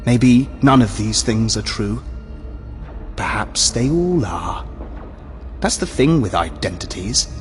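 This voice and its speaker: A man's voice: lowish voice, expressive, soft background music. A man playing a sinister demon in a dead soldier's body, mocking and wordy, in an audio drama. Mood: taunting. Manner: mocking, verbose, savouring slow threats. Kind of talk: audio drama villain acting.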